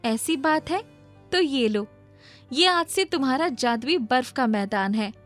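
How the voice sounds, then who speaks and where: A woman's voice: high-pitched, expressive, a little slow. A woman voicing a helpful young magical fairy, caring and commanding, in a cartoon moral story. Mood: granting, assured. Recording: music behind the voice.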